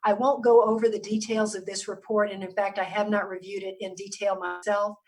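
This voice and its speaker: A woman public health official giving a measured briefing over a video call, hoarse and losing her voice, often stopping to clear her throat. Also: medium pitch, level tone, a little mellow, distant mic. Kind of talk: briefing presentation on a virtual meeting.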